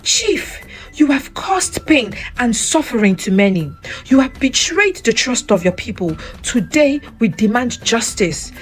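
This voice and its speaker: A woman's voice: on the high side, very animated, measured pace. A woman voicing a furious, righteous young male hero in a storybook folktale, declaiming accusations. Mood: righteous anger. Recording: music under the voice.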